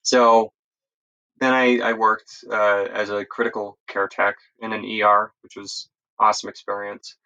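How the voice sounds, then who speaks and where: A man's voice: on the low side, little pitch movement, unhurried, bright, forceful tone, highs cut off. An American man telling his story as an interview guest in a casual, rambling, self-correcting way. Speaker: interview guest, EMS instructor.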